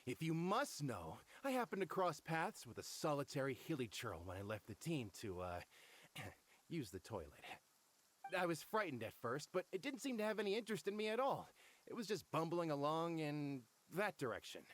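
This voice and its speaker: A dismissive, grumbling forest ranger in a video game. His voice is on the high side and leaps dramatically up and down. He sounds incredulous and fed up with whoever he is addressing. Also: very animated, normal speed. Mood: grudging. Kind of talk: game voice acting.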